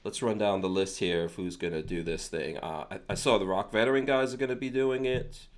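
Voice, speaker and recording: A man's voice: lowish voice, steady tone, fairly quick. A man hosting a podcast in casual chat, with a teasing, joking way of talking. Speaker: podcast host.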